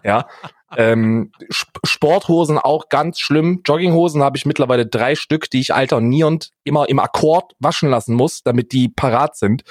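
A man's voice: mid-range voice, no echo, clean studio sound. A man casually telling personal stories as a podcast co-host, self-deprecating and given to dramatic exaggeration.

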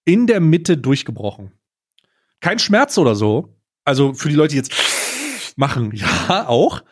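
A man's voice: medium pitch, very animated, a little slow, breathy edge. A man telling anecdotes casually as a podcast co-host, blunt and slangy, dropping in bits of English.

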